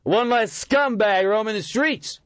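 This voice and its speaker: A man voicing a drunken, belligerent male marcher who yells in a slurred voice. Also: high-pitched, measured pace, no room sound, pitched above the performer's own voice. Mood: hostile. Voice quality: slurred, yelling.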